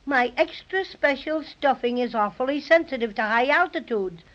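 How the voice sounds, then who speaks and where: An actress playing a small, cautious, fussy stuffed toy bear, earnest and fretful, in a 1930s children's radio serial. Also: female voice, sits high, lively intonation, normal speed, a bit bright. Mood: pleading, cautious. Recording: dull 1930s radio transcription.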